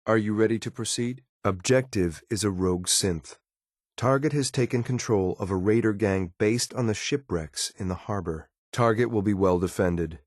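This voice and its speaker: Casting: male actor for stern synth soldier companion in a video game. Lowish male voice, clipped military formality, cool loyalty, dry studio sound. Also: moderate pace, no room sound, lightly acted. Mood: curt, businesslike.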